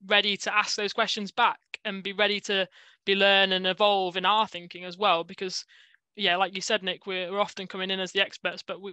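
A woman in her thirties, a structural engineer, speaking briskly and assertively on a panel through a headset on a video call. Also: mid-range voice, bright, forceful tone, clear, resonant tone, no room sound. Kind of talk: panel discussion.

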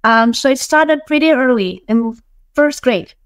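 A woman's voice: high voice, lively intonation, unhurried, clear, resonant tone, no room sound. A middle-aged woman, telling her own story casually as an interview guest on a video call. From Hungary, born in Romania. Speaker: interview guest, bookkeeping business owner. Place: small room, indoors.

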